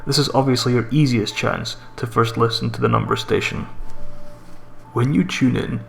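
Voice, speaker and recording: A man with a mid-pitched, slightly gravelly voice narrates a scripted horror tale. His reading is measured and deliberate. Music plays faintly behind him. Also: steady tone. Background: background music.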